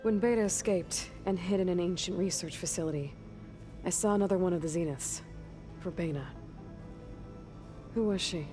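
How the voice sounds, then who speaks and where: An actress playing a young video game heroine, direct, practical and skeptical, with an understated, plain-spoken delivery. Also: female voice, fairly low pitch, moderate pace, long pauses, mellow, soft background music, lightly acted. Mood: businesslike. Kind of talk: video game character dialogue.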